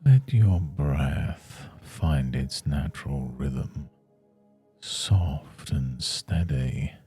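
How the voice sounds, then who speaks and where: A man guiding a scripted meditation in a soothing, measured manner with long pauses between phrases. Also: rumbling low, lively intonation, warm tone, husky. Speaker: meditation guide. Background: faint music.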